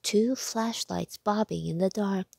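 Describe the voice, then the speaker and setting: A woman reads a children's story aloud. Her pace is slow and measured, her voice has noticeable creak, and the recording is dry and close. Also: medium pitch, a little mellow, no echo. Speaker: read-aloud narrator. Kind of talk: scripted children's storybook narration.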